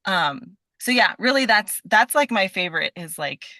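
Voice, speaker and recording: A woman's voice: mid-range voice, expressive, moderate pace, pushed and bright, dry recording, clean studio sound. A woman being interviewed as a guest, chatting casually in a scattered, enthusiastic way and peppering her sentences with "like". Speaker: guest, voice actor.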